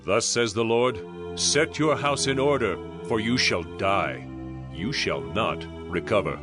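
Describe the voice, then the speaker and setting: A man's voice: fairly low pitch, animated, unhurried, over music. A man playing a stern prophet who proclaims divine judgment in a solemn, declamatory dramatized scripture reading. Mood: grave.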